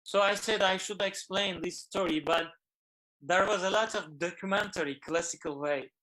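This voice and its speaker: A man with a Turkish accent, a film director guest on an online interview, speaking English haltingly and searching for words. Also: high voice, sharp tone, poor audio. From Turkey.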